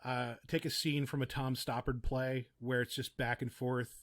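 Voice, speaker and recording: A man's voice: mid-range voice, flat delivery, moderate pace, fairly bright tone. A man speaking casually as a podcast guest, explaining audio production in chatty, meandering asides. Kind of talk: casual interview chat, giving practical advice.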